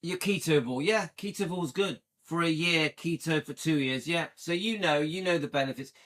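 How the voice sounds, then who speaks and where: A man's voice: a little high, normal speed, a bit bright. A man in his late fifties from the UK, chatting casually and enthusiastically with viewers on a livestream and often checking in with "yeah?". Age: 50s. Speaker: livestream host.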